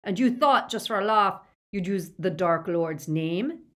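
A woman's voice: medium pitch, animated, a little slow, voice pushed up, natural voice. A woman voicing a suspicious male thug in an audiobook, sneering and taunting as he questions captives. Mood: jeering.

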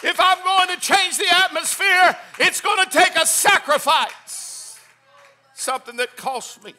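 A man preaching a Pentecostal sermon, swinging from hushed, quick asides to shouted hallelujahs. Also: very high voice, soft background music. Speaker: pastor. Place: church.

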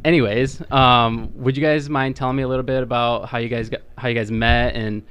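A man hosts a casual interview podcast. His voice sits at an average pitch, and he talks informally, with brief questions and reactions to what his guests say. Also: fairly even intonation, fairly quick, a bit bright, smooth.

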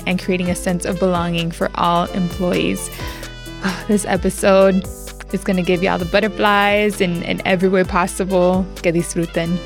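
A woman hosting an interview podcast, effusive and chatty, dropping Spanish phrases into her English. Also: lowish voice. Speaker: podcast host. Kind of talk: warm enthusiastic interview chat.

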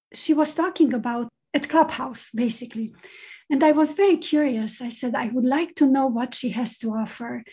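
High, husky-voiced woman podcast host. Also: mellow. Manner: chatty and effusive. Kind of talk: casual interview introduction.

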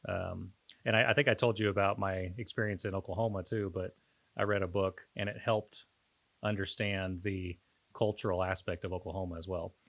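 A man co-hosting a podcast in casual, reflective conversation, pausing and searching for his words as he talks. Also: sits low, steady tone, creaky, a bit bright. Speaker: podcast co-host.

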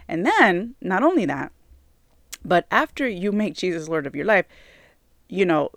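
A woman in her forties giving an earnest personal faith testimony, slightly hoarse from allergies, repeating phrases for emphasis and filling with "like" and "you know". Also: fairly low pitch, no echo. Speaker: church member sharing her testimony.

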